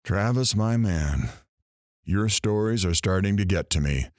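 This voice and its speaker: An actor playing a brash, sarcastic morning radio host in an audio drama, with showy patter. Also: male voice, fairly low pitch, animated, measured pace, a little mellow, no echo, studio quality. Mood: teasing.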